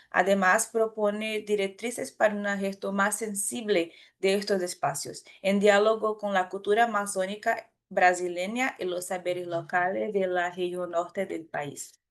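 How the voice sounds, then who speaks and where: A woman with a Brazilian Portuguese accent, a native Portuguese speaker, giving a conference talk in a careful, halting way with frequent restarts and self-corrections. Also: mid-range voice. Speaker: academic presenter.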